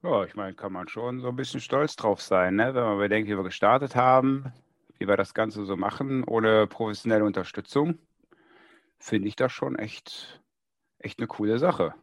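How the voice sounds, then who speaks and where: A male podcast co-host with a fairly low voice, recorded dry and close without room sound. He chats casually with a dry, joking manner. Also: moderate pace, dry recording. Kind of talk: casual chat.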